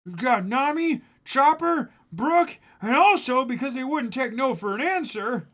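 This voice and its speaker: A man voicing a loud, excitable, indignant young male pirate hero in a dramatic manga reading. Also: extremely high pitch, a little slow, voice pushed up, full character voice. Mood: eager.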